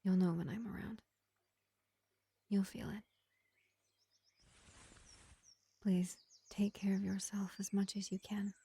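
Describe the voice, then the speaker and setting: A woman voicing a kindly vampire field medic in a soothing, unhurried, whispery roleplay addressed to the listener. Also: mid-range voice, little pitch movement, creaky, dark tone, slightly rough. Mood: soft, concerned. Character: gentle, kindly vampire field medic tending a wounded elf.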